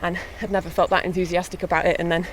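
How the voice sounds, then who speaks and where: A young woman, a harpist, chatting in a relaxed way with light laughs while out walking on a hillside. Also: fairly low pitch, fast talker, poor audio. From born in England, raised in mid Wales. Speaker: harpist and guest. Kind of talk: casual walking interview. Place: outdoors on a hillside walk. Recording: faint outdoor noise.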